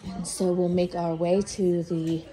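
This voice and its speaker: A woman's voice: fairly low pitch, flat delivery, unhurried, dark tone. Congested woman chatting on a home tour. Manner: chatty, listing where items were bought. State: congested from a cold. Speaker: home vlogger. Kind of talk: casual home tour narration. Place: house interior, walking room to room. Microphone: handheld phone camera.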